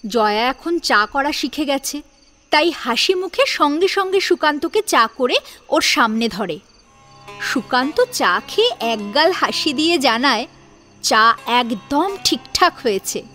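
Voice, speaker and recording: A woman narrating a scripted domestic drama as a storyteller, with an expressive, dramatic delivery. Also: high-pitched, measured pace. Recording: music behind the voice.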